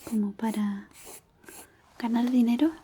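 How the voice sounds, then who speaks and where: A woman doing a soft-spoken, half-whispered ASMR roleplay as a shy, caring high school classmate, gentle and hesitant. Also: steady tone, unhurried, slight background noise. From Chile.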